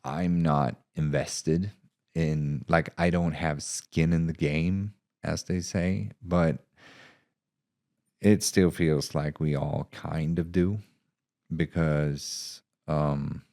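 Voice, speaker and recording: A man narrating and reflecting on his own writing in an unhurried, thoughtful way. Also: a bass voice, a little mellow. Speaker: author reading own short story. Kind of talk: scripted narration and casual reflective talk.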